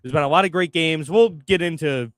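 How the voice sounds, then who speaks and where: A man's voice: fairly high pitch, fairly quick, fairly bright tone, no echo. A young man co-hosting a sports radio show, easygoing and agreeable. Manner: easygoing, agreeable, laughs often. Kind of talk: casual sports chat. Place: radio studio. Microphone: studio mic.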